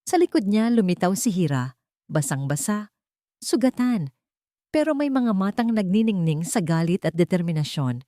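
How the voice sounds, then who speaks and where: A woman's voice: mid-range voice, sing-song, normal speed, mellow, dry recording, clean studio sound. A woman narrator reading a scripted story in a dramatic, literary manner.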